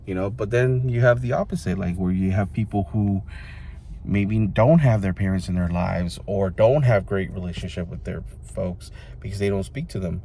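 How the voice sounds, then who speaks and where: Deep-voiced man in his thirties, rambling casually. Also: moderate pace, dark tone, a little hiss or hum. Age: 30s. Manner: rambling, loose, full of fillers such as "you know" and "like". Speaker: vlogger. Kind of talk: casual reflective monologue to the camera.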